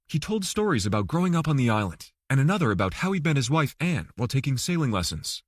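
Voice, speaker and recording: Lively male audiobook narrator, slightly husky. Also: mid-range voice, fast, no room sound, studio quality. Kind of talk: scripted fiction narration.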